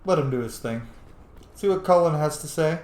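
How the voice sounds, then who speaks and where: A man's voice: fairly high pitch, lively intonation, moderate pace, a little mellow. A man giving casual commentary as he plays a game, cheeky, blunt and sweary. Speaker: gamer, let's player. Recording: echoey room.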